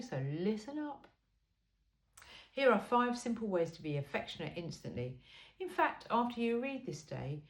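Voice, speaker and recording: A woman reading a self-help book aloud in an informal, blunt and emphatic way. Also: mid-range voice.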